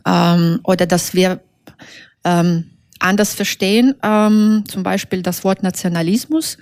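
Halting woman from Belarus, non-native German. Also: on the low side, a little mellow, no echo, clean studio sound. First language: Russian. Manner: halting, many fillers and self-corrections, searching for German words. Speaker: author being interviewed. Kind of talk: thoughtful interview answers.

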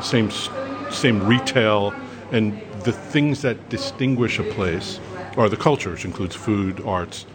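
A man from Brooklyn talks as a tourist. His voice is fairly low and his speech quick and thoughtful. Restaurant crowd chatter fills the background. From Brooklyn, New York. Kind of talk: casual interview. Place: busy restaurant.

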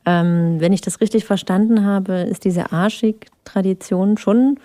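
A woman hosting an interview, her voice mellow and warm. She guides the talk with bridging segues to the next topic, recorded dry and close. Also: medium pitch, fairly even intonation, a little slow, dry recording. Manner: links the topics, bridging the conversation. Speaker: host. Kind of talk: moderating a radio interview.

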